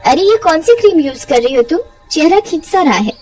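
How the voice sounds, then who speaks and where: A vain, demanding young woman at a salon acted out by a female narrator, high in pitch. It sounds like a cartoon tale with faint music behind. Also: very animated, brisk, a little mellow. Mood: uneasy.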